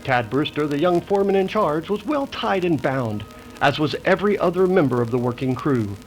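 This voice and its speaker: A man's voice: a little high, animated, fairly quick, soft background music, rough recording. A man acting as the dramatic narrator of a western serial, in 1930s radio style. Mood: suspenseful.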